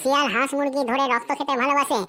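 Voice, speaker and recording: Woman doing a cheeky little cartoon schoolboy, high and bright, reciting his essay like a chant. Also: level tone, very fast. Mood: cocky. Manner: rattles off a memorized essay in a sing-song recital. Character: cocky schoolboy who turns every essay into a fox essay. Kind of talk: cartoon voice acting.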